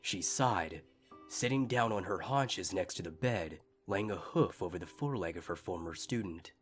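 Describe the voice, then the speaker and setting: A man's voice: sits low, expressive, normal speed. A man reading a dramatic scripted story as a fan fiction narrator. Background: faint music.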